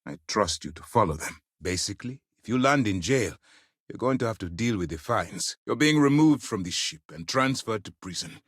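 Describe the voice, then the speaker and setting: A man's voice: lowish voice, lively intonation, normal speed, a bit bright, no echo, studio quality. An actor playing a stern male space navy commander in a video game, speaking in a formal, authoritative way with clipped orders. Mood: measured, authoritative. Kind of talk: scripted game dialogue, dramatic military acting.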